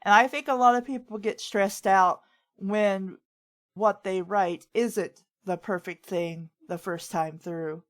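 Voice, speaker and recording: A woman's voice: medium pitch, steady tone, takes it slowly. A woman hosting a casual chat and giving advice in a conversational, rambling way, often acting out what others say. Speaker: host of a writing advice talk.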